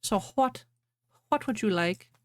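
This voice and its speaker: A woman's voice: medium pitch, animated, measured pace, dry recording. A woman livestreamer chatting casually with viewers and reading fan fiction aloud.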